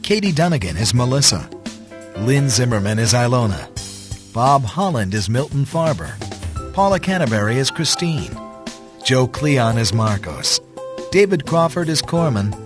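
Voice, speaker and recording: A man's voice: medium pitch, big pitch swings, moderate pace. Male credits announcer over music. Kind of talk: scripted narration. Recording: music under the voice.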